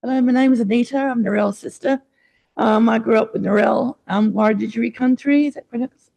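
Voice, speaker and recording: A woman's voice: fairly high pitch, brisk, vocal fry, dark tone. A woman introducing herself in a self-mocking, joking way at a club meeting. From Australia.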